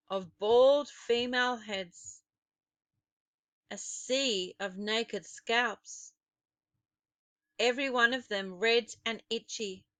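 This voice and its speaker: A woman narrating a children's story expressively, with dramatic, deliberate phrasing. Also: mid-range voice, fairly bright tone, clean tone, no room sound.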